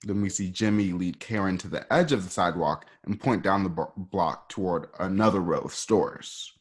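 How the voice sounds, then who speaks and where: A man with a low voice narrates a radio play. He describes scenes and stage action in a casual, conversational way. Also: moderate pace, husky. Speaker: narrator reading stage directions.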